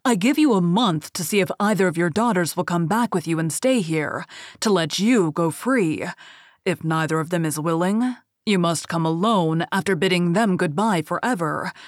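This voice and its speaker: A woman voicing an angry male beast in a fairy tale read aloud, speaking loud, stern and commanding. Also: lowish voice, normal speed, dry recording, studio quality, natural voice.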